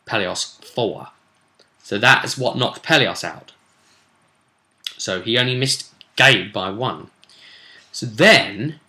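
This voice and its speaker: British male, bright forceful voice, unhurried game master recap, chatty with playful asides. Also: mid-range voice. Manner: chatty, digressive, with playful asides and emphatic bursts. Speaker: game master recapping a tabletop role-play session. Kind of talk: casual animated recap to camera.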